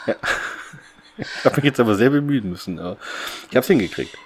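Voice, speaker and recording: A man's voice: mid-range voice, animated, a little fast, slight background noise. A man co-hosting a podcast, recapping a radio play in casual conversation. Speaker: podcast co-host.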